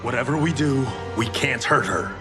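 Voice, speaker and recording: An actor playing an earnest, protective fighter in a video game, strained and commanding over loud music. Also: male voice, medium pitch, animated, normal speed, lightly acted. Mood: urgent. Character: earnest heroic male fighter, urgent and protective. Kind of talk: dramatic video game acting. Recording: loud dramatic music behind.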